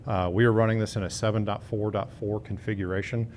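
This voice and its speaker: A man's voice: level tone, fast talker, darkish tone, slight background noise. A man in his fifties, a sales director, giving a fluent product rundown in a hotel demo room. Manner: fluent, confident sales pitch.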